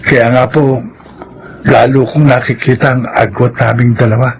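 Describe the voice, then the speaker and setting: Humble young janitor, medium pitch. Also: male voice, level tone, normal speed, breathy edge, poor audio. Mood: wistful. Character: humble lovestruck young male janitor, shy and self-doubting. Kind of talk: Filipino radio drama acting.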